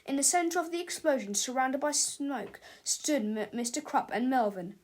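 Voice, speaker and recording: A woman with a fairly high voice narrates a children's storybook. Her delivery is an expressive read-aloud, made for young listeners. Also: moderate pace.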